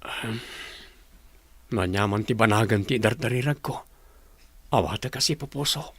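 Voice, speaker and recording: An actor playing a lovelorn, rejected boss in an Ilocano radio drama, emotive and dramatic, with an Ilocano accent. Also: male voice, fairly low pitch, moderate pace, a bit bright, husky, no room sound. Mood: dejected. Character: lovelorn rejected male boss, pleading, then dejected.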